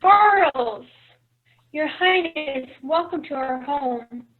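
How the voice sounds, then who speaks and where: A woman's voice: sits high, expressive, takes it slowly. A woman playing a dreamy, gushing, spoiled young stepsister in a children's play reading. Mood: gushing greeting. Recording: thin phone-like sound.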